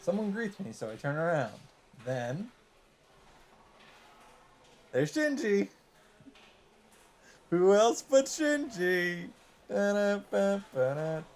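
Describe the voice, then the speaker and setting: A man's voice: high-pitched, big pitch swings, slow, pauses often, slight background noise. A man reading visual novel text aloud for a let's play and adding wry, joking asides.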